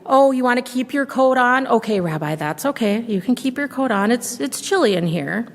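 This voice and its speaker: A woman's voice: expressive, normal speed, big-room sound. A woman voicing a kindly, chatty grandmother of ninety-seven in a storybook reading. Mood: cheerful. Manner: sweet, chatty, higher and brighter voice.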